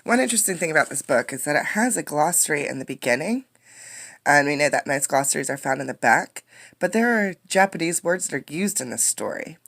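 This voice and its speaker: A woman's voice: sits low, animated, a little fast, vocal fry, fairly bright tone. A woman reading a children's picture book aloud as a teacher, expressively, explaining words and putting questions to the listeners. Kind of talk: interactive children's read-aloud.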